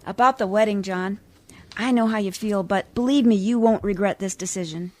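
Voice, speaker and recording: A woman's voice: medium pitch, normal speed, a little mellow, a little hiss or hum, natural voice. A woman playing a sly, scheming wife who coolly needles her husband, in 1940s radio comedy style. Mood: sly, sweet. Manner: coolly teasing, sarcastic.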